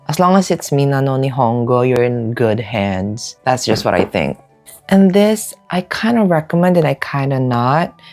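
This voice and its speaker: A young man chats loosely to his camera in a casual vlog, dropping "like" constantly and swearing offhand. His voice sits at an ordinary middle pitch, with faint music playing softly behind him. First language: Filipino. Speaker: vlogger. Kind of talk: casual book-haul vlog.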